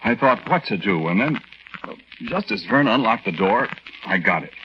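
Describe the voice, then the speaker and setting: A man's voice: on the low side, expressive, moderate pace, slight background noise, natural voice. An actor playing an aggrieved young soldier husband who tells his story in a folksy, hesitant, conversational way in a 1940s radio drama. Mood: tense, confiding. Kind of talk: 1940s radio drama first-person narration. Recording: dull old 1940s radio recording.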